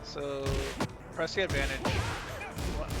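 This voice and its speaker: A man's voice: on the high side, steady tone, slow. A man giving casual, instructive gameplay analysis, talking informally as he coaches a player through moves. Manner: instructive, counts out inputs aloud, informal. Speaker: video creator coaching a fighting game player. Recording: loud game music and sound effects behind. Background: loud fighting game music and effects.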